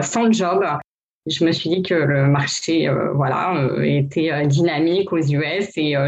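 A woman in her thirties, a guest in a casual interview, talking with many hesitations and fillers. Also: on the low side. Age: 30s. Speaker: podcast guest, brand founder. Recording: dull lo-fi sound.

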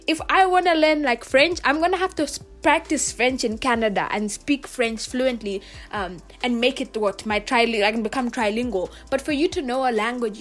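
Young Kenyan woman, earnest, chatty student vlogger, slightly high voice over soft music. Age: young adult. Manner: chatty, full of "like" and "you know", earnest. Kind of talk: casual reflective monologue to camera.

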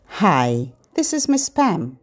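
A middle-aged or older woman hosting a children's podcast, giving scripted, expressive, instructional narration aimed at parents and babies. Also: on the low side, slow.

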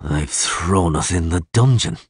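A man's voice: lowish voice, unhurried, fairly bright tone, dry recording, clean studio sound, far from the mic, voice pushed up. A man voicing a thin old prisoner in an audiobook, mumbling, world-weary and gloomy yet proud. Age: older.

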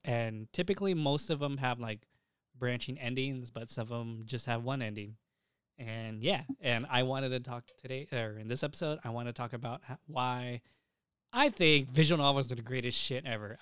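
A young man chats as a podcast host in a clean, smooth voice at a medium pitch. He is enthusiastic and opinionated, talking in loose slang with plenty of swearing. The sound is close and dry. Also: a bit bright, no echo. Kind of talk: casual podcast chat.

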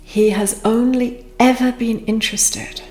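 A woman speaking as a therapist, slowly and reflectively guiding a conversation. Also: fairly high pitch, steady tone, darkish tone, echoey room, faint music behind. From Pakistan.

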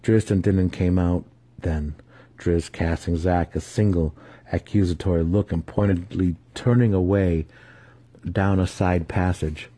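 A man's voice: deep voice, fairly even intonation, a little slow, warm tone, dry recording. A man giving a dramatic scripted reading of a fantasy novel as its narrator. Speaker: audiobook narrator.

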